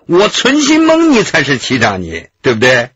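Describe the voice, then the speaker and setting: A slippery male gallery owner in a police radio drama, with a very husky voice that swings widely in pitch. He is glib and self-justifying, talking his way around every question. The recording is dry and a little dull. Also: huge pitch swings, normal speed, a bit bright, no echo, heavily acted. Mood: defiant, cocky. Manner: wordy, wheedling, self-justifying. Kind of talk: Chinese radio drama acting.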